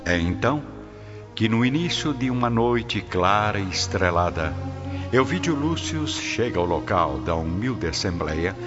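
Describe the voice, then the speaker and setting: Male narrator, Brazilian accent, deep bass register, solemn scripted storytelling, slightly muffled recording. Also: sing-song. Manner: solemn, literary, measured phrasing. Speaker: narrator of a radio drama. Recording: dull lo-fi audio under loud music.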